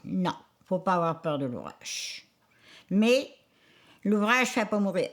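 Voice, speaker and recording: A woman in her nineties with a Charlevoix Quebec French accent, reminiscing in a halting, rambling way with many restarts. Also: medium pitch, lively intonation. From Quebec, Isle-aux-Coudres. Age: 90s. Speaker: interviewee.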